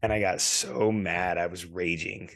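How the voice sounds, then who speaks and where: A man in his thirties with a low, deep voice tells long, animated stories on a casual podcast. His voice is wearing thin and he keeps clearing his throat. The sound is close and dry. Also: measured pace, dry recording. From California. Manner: rambling, animated storyteller. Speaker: podcast co-host.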